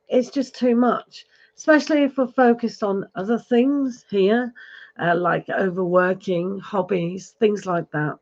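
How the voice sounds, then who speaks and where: A woman giving a live tarot reading, advising gently with many fillers, her voice going hoarse. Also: medium pitch, dull audio. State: losing her voice, clearing throat. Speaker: tarot reader.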